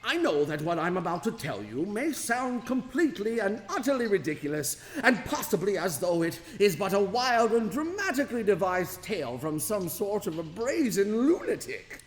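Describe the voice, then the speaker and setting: High-pitched, excitable eccentric scientist. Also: male voice, moderate pace, few pauses. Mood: evasive, theatrical. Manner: theatrical, wordy, flustered, stammering. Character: excitable eccentric male scientist and time-machine inventor. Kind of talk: comic radio drama acting. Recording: reverberant hall, faint background noise.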